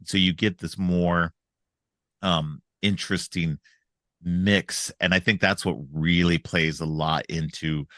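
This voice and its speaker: A man's voice: deep voice, steady tone, slow pace, fairly bright tone, no echo, studio quality. A middle-aged man hosting a casual film podcast, stuffed up from a cold, rambling with frequent restarts. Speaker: podcast host.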